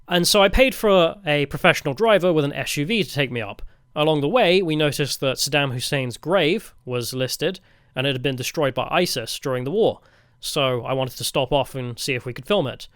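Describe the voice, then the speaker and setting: A man telling travel stories as a vlogger in a casual, wry, deadpan way. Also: a little high, brisk, no echo, clean studio sound. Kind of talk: casual storytelling narration.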